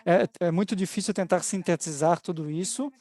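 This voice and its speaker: A woman's voice: lowish voice, fairly quick, mellow. Spanish-accented woman in her forties. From Spain. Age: 40s. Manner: hesitant, with frequent restarts and fillers. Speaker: panelist summarizing a webinar. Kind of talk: thoughtful spoken summary. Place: home, online event. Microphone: video call.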